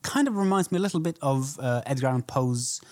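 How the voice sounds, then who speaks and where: A man co-hosting a podcast in casual conversation, explaining things in measured, halting phrases. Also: medium pitch, lively intonation, a little mellow. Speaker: podcast co-host. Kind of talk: casual film podcast chat.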